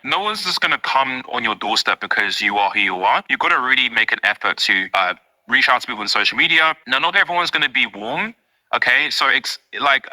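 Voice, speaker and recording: A young man with a strong British accent talks straight to camera from his home. His voice is very bright and forward, his pitch sits in the middle range for a man, and he keeps it loose and chatty, full of "um" and "right?". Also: low-quality recording. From United Kingdom. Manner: chatty and direct, frequent fillers and rhetorical asides. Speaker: vlogger. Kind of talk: casual talking-to-camera vlog.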